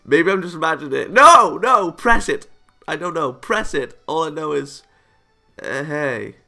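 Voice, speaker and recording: A man's voice: fairly high pitch, lively intonation, a little slow, creaky, sharp tone. A man reading a visual novel aloud for a let's play, playful and exclaiming, breaking into joking asides. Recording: music behind the voice. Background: visual novel game music.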